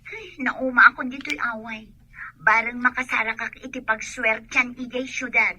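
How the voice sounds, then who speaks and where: A young country woman in an Ilocano radio drama, high-voiced and a little husky. She is stubborn and eager, pushing her point with a whiny, insistent edge in an Ilocano accent. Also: fairly even intonation, normal speed, sharp tone, no echo.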